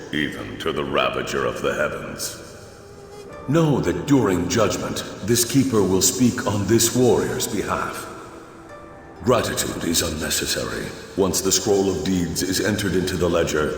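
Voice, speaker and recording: Otherworldly game guardian, solemn, formal, swelling and falling intonation, music underneath. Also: male voice, deep voice. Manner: formal, grave, speaks of self in third person. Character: solemn male otherworldly keeper of spirit realms. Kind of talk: scripted fantasy game dialogue. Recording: echoey long reverb, music behind.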